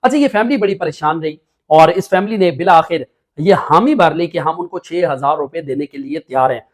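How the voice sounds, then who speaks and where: A man's voice: a little high, moderate pace. A man with a Pakistani accent giving a spoken news report with commentary, emphatic and padded with repeated filler phrases.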